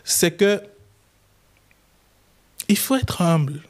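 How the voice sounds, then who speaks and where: A man's voice: sits high, drawn-out words. A young man from Chad with a Chadian French accent, a lawyer guest in a casual interview, telling his story and acting out the dialogue. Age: young adult. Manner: animated, acts out quoted dialogue.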